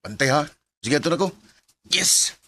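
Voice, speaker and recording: A man's voice: fairly high pitch, expressive, moderate pace, pushed and bright, slightly rough, full character voice. An actor playing a reluctant, grumbling male college student in a Filipino radio drama, with muttering, hesitant delivery. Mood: flustered.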